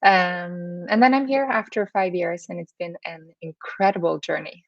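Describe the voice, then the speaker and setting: A woman's voice: on the low side, moderate pace, vocal fry, no echo, lo-fi sound. A woman in her thirties from Norway with a Norwegian accent, giving conversational interview answers peppered with "you know". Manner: hedging, frequent "you know". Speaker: interview guest, startup accelerator CEO.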